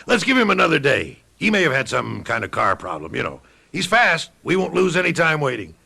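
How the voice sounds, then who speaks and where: An actor playing a confident, menacing man with a rough, gravelly voice in a radio drama. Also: on the high side, sing-song, a little fast, sharp tone, full character voice. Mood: confident, reassuring.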